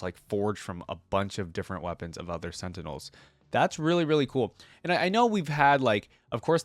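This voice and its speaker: A man's voice: lowish voice, dry recording. A man reading story text aloud and reacting casually in between, as a video creator commenting on it.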